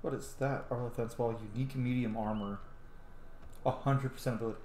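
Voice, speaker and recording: A man's voice: medium pitch, fairly even intonation, fairly quick, a little mellow. A man giving chatty, casual video game commentary, thinking aloud and swearing now and then. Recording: roomy echo, light background noise.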